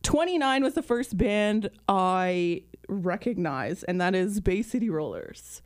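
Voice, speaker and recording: A woman in her thirties from Canada, hosting a podcast in casual chat with many ums and pauses. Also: mid-range voice, very animated. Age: 30s. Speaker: podcast host.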